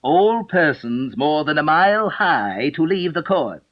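A man voicing a pompous, blustering king who speaks in a grave, deliberate way. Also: a little high, big pitch swings, muffled recording, pitched above the performer's own voice. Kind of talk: audiobook character voice.

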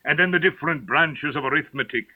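A man voicing a sad, touchy old Mock Turtle in a storybook drama, with a hollow, mournful, drawn-out delivery. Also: narrow, tinny audio, lightly acted. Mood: wistful. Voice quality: deep, hollow tone.

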